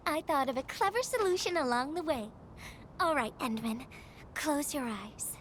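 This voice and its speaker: High-voiced earnest young game heroine. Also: female voice, lively intonation, a little slow, slight background noise. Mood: playful, encouraging. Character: earnest, upbeat young female messenger, playful and caring. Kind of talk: anime-style video game voice acting. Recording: loud game music behind. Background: loud game music.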